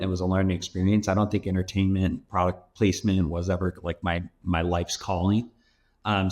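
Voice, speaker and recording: A man in his thirties from Los Angeles, speaking in a low voice as a guest on a podcast. His delivery is loose and rambling, full of "um" and "like.". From Los Angeles, USA. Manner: rambling and loose, heavy use of "like" and "um". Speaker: venture capital investor, guest. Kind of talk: casual interview talk.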